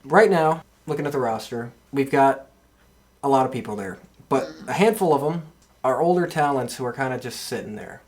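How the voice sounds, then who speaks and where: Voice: medium pitch, normal speed, slight background noise. Casual podcast host with a mid-range voice. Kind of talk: casual wrestling talk.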